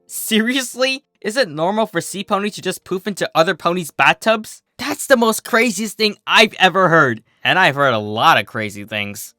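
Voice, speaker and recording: A narrator voicing an excitable, teasing young female pony in a storybook reading, with exclamatory, joking delivery. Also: mid-range voice, moderate pace, few pauses, dry recording, studio quality, soft background music, pitched above the performer's own voice. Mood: incredulous.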